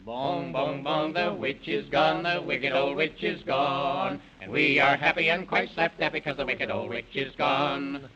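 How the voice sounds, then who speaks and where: An actor playing a merry, grateful dwarf who chants in rhyme in an old-time radio children's serial. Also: male voice, mid-range voice, steady tone, a little slow, few pauses, a loud crowd, natural voice. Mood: merry, chanting. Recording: muffled old radio recording, busy voices behind.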